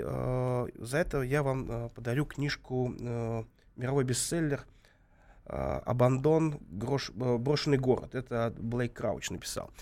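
A man hosting live radio from a studio, fighting off a cold. His voice sits in an ordinary middle register. He hesitates often and fills the gaps with drawn-out filler sounds. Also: medium pitch, gravelly. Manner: halting, many filler sounds. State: has a cold. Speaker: radio host.

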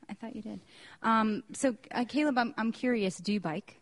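A woman's voice: on the high side, normal speed. A woman with an American accent hosting a news and culture podcast in a casual, chatty style. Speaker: podcast host.

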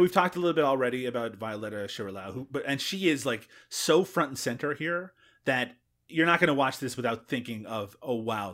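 A man's voice: medium pitch, fairly bright tone. A man co-hosting a casual film discussion, chatty and laughing often, with frequent restarts. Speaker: film podcast co-host.

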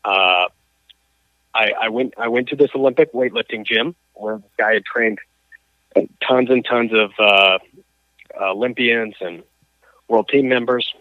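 A man's voice: medium pitch, no room sound. Coach guy calling in, thin phone-line sound, keeps restarting and saying uh. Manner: halting, many restarts and fillers. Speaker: guest, coach and athlete. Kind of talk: conversational storytelling in an interview. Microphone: phone or call line.

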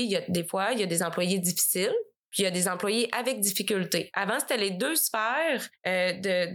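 A woman's voice: mid-range voice, fairly bright tone. A woman with a Québécois French accent, a guest on a business podcast, chatting casually with many fillers and frequent laughter. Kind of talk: casual conversation.

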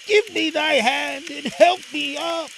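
A headstrong, earnest lad from an old storybook tale, piping up high in archaic words, as a male storyteller plays him, noise behind. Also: takes it slowly, fairly bright tone, exaggerated voice. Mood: pleading. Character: obstinate, boyish young lad, pleading and breathless.

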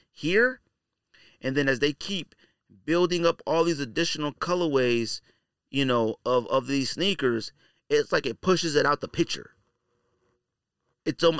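A man's voice: mid-range voice, fairly bright tone, clean tone, dry recording. Casual, dry-sounding male podcast host. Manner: colloquial, slangy. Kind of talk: casual opinionated commentary.

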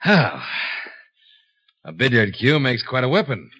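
An actor playing a shrewd, unhurried insurance investigator in a 1950s radio drama, with a dry, matter-of-fact delivery. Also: male voice, mid-range voice, sing-song, bright, forceful tone, breathy edge, full character voice. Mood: dry, unruffled. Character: cool, shrewd male insurance investigator, hard-boiled and unhurried. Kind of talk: 1950s radio detective acting. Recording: dull 1950s radio broadcast recording.

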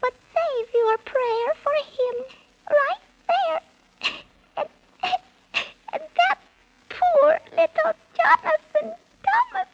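Squeaky, tearful little whiskery creature. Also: slow pace, long pauses, full character voice. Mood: tearful, determined. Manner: plaintive, quavering rhymed speech. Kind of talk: 1930s children's radio serial acting. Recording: muffled, very noisy old radio recording.

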